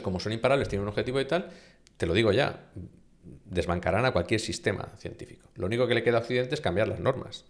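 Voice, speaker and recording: Deep gravelly voiced spanish guy, middle-aged, castilian accent, argues his point hard with lots of questions. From Spain. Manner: emphatic, animated with rhetorical questions. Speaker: university vice-rector, guest. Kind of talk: conversational interview.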